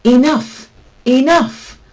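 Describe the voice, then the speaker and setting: A woman's voice: high voice, drawn-out words, dull audio, voice pushed up. Woman reading as a commanding old tomcat mentor. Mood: shouting, stern. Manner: emphatic, instructive. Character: commanding old male cat mentor, stern and wise. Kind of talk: children's storybook read aloud.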